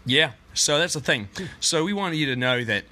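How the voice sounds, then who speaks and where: A man chatting on a podcast in a put-on Australian accent, his tone bright and forward. He rambles with restarts and a lingering cough, always half joking. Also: fairly high pitch, expressive, normal speed. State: has a cough. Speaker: podcast co-host. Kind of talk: comedic podcast banter.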